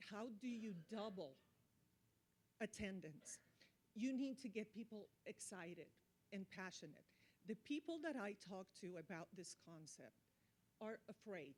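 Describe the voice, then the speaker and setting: A woman giving earnest, impromptu remarks at a conference, speaking in deliberate, emphatic short phrases. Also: mid-range voice, a little mellow. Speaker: audience speaker, marketing expert.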